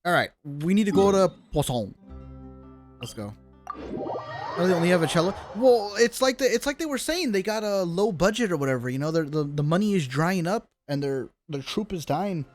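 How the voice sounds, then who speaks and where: A woman with a fairly low voice streams a game live. Her delivery swings widely in pitch, and she talks in an informal, sweary, reactive way over clearly audible music. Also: expressive, moderate pace, a little mellow, no room sound. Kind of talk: casual live game commentary.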